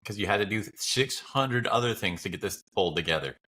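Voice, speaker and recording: A man chats casually as a podcast co-host. His voice sits on the low side but is bright, with lively swings in pitch. He is supportive and encouraging. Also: fairly quick, husky.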